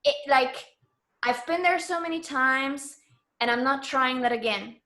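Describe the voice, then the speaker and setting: Emphatic woman with a high-pitched voice. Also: unhurried, sharp tone. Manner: emphatic, self-assured, informal. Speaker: interview guest, entrepreneur. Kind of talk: casual conversational interview.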